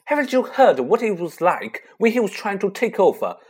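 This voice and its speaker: A reader voicing a desperate, determined schoolboy wizard who shouts in defiance. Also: mid-range voice, animated, moderate pace, breathy edge, voice pushed up. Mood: defiant.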